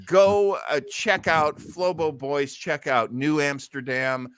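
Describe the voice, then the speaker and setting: A man's voice: on the high side, steady tone, slow pace, fairly bright tone, smooth, no echo. A man hosting an old-time radio listening show in a casual, chatty, enthusiastic way.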